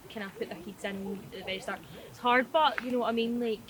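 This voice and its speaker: A woman's voice: a little high, fairly even intonation, fast talker, crowd noise. A woman giving casual opinions, searching a little for her words. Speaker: interviewee. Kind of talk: casual chat. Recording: very noisy, tinny phone-like sound.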